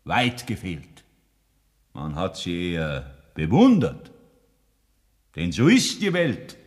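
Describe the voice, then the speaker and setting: A man with a Bavarian accent narrates a radio drama like a sermon, solemn and preachy. His low voice moves slowly and rises and falls widely. Long reverb hangs around it. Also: wildly swooping pitch. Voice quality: solemn, preachy, slow and deliberate. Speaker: narrator preaching a moral tale.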